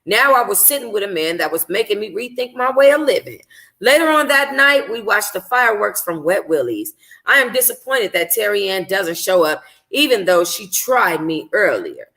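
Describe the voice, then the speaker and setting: A woman hosting a book club, reading and chatting informally, sounding tired and slightly hoarse. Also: medium pitch, very animated, normal speed, bright, forceful tone. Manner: informal, conversational. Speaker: book club host reading a novel aloud. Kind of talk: expressive audiobook-style reading and casual livestream chat.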